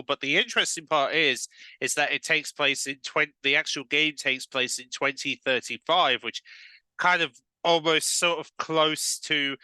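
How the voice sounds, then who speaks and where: A man's voice: mid-range voice, sharp tone, clear, resonant tone, dry recording, low-quality recording. A man with a cold hosting a casual gaming news update, rambling with many fillers and restarts. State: has a cold.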